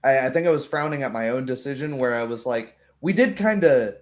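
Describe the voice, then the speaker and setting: A man in a relaxed group chat, talking as the game master. His voice sits at an average pitch for a man, and he explains himself with analogies and quick acted-out examples. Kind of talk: casual chat.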